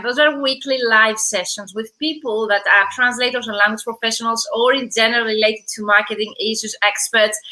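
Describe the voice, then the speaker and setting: A woman hosts a live online interview with a bright, forceful voice pitched a little high. She chats warmly with her guest and calls out viewers by name. She is heard through a video-call mic. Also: poor audio. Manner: chatty, welcoming, greets viewers by name. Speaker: host.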